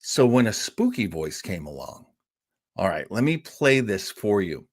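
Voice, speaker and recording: A man hosting an old-time radio show, telling its history informally, with emphatic pauses and repetition. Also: on the low side, very animated, breathy edge. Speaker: host presenting old-time radio shows. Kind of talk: informal storytelling lecture.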